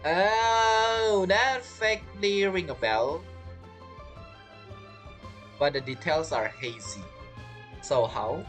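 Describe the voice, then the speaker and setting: A woman giving casual commentary as she plays a game, halting and thinking aloud as she goes. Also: fairly low pitch, faint music behind. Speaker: game streamer.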